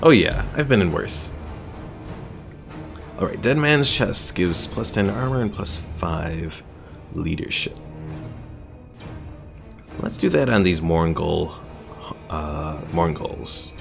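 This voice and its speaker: A man's voice: sits low, animated, slow pace, vocal fry, pauses often. A man giving casual livestream commentary on a game and talking with his chat as host. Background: game music.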